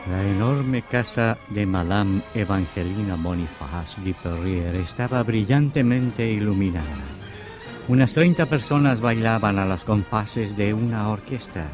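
Deep-voiced man narrating vintage radio horror. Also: measured pace. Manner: solemn, theatrical delivery. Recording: dull vintage radio recording, music behind.

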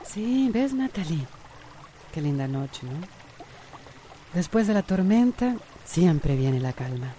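A woman's voice: lowish voice, big pitch swings, slow pace, long pauses, warm tone, slight background noise. An actress playing a soothing, compassionate woman with a Costa Rican accent in a radio drama. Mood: wistful. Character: soothing, compassionate woman comforting troubled teenagers.

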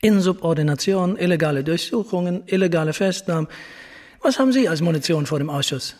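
Casting: male actor for eager-to-please middle-aged captain in a radio play, high register, fawning and bureaucratic. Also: lively intonation, fairly quick, a little mellow, natural voice. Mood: eager, deferential. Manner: deferential, officious. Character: eager, obsequious careerist police captain, middle-aged man. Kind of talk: German radio drama acting.

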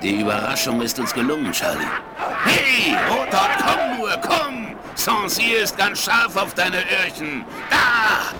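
Gravelly, excitable old frontiersman. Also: high voice, unhurried, a loud crowd, exaggerated voice. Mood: fierce, excited. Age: older. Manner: colourful, folksy, cursing speech. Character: gruff, hot-tempered old Wild West frontiersman. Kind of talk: 1970s German western radio play acting. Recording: vinyl transfer with background noise.

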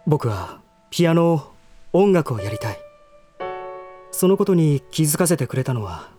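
A male actor playing a refined, sheltered young gentleman in a voice drama, speaking formally and earnestly. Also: a little high, very animated, normal speed, soft background music. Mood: earnest, measured. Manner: formal, stiff, earnest speech. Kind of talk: Japanese voice drama acting.

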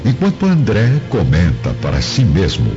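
A man's voice: lowish voice, huge pitch swings, moderate pace. A man narrating a radio drama in a dramatic, scripted storytelling style. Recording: muffled recording with music bed.

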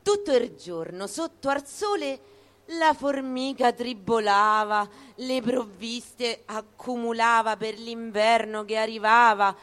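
A woman's voice: fairly high pitch, slow pace, fairly bright tone. A woman with a Roman accent reciting a rhyming fable in a rhythmic storytelling style. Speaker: poetry reader.

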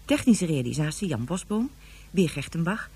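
Mellow, husky radio credits announcer. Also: mid-range voice, big pitch swings, moderate pace. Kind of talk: scripted formal announcement.

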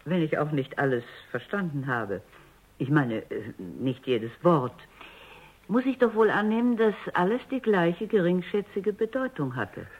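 A dull-sounding radio drama recording of an exacting woman robot psychologist. Her pitch moves widely as she speaks with precise, insistent phrasing, sounding firm and serious. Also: big pitch swings, a little slow, slightly rough, exaggerated voice. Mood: firm, probing. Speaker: lead actor and first-person narrator. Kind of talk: radio drama acting and narration.

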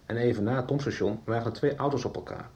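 An actor playing a shaken accomplice who reports a fatal crash in short, tense sentences. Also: male voice, medium pitch, fast talker, a little mellow, lightly acted. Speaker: character in a radio soap. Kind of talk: 1990s radio drama acting.

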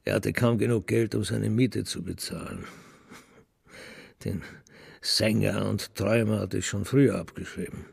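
An actor playing a bitter, contemptuous old businessman father telling his story in a scornful, deliberate radio-drama monologue. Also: fairly low pitch, fairly even intonation, exaggerated voice. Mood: bitter disappointment. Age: older. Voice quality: gravelly, creaky.

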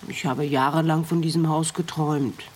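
A woman's voice: sits low, steady tone, moderate pace, darkish tone, breathy edge. An actress playing a guarded, hesitant young woman with something to hide in a radio play. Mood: subdued, resigned. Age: young adult. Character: guarded young woman, a runaway thief confronted by detective.

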